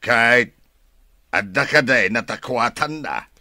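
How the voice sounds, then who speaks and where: A man's voice: mid-range voice, animated, slow, bright, forceful tone, dry recording, heavily acted. A man playing an exasperated family man in a radio drama, with an Ilocano accent.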